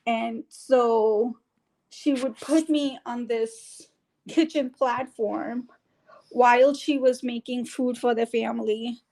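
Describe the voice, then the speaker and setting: A woman's voice: a little high, fairly even intonation, takes it slowly, vocal fry, a little mellow, poor audio. A middle-aged woman from India with an Indian English accent, telling her life story in an interview, with many fillers and restarts. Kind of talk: casual conversational interview.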